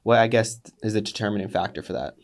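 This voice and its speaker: A man's voice: lowish voice, quick pace, creaky. Friendly man hosting an interview. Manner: friendly, asks questions with short affirmations. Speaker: host.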